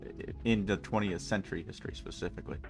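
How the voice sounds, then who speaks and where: A man's voice: low voice, steady tone, fast, creaky, over music. A man with a stuffy nose chatting casually and rambling jokily with his chat while streaming a game. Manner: rambling, jokey, talks to chat.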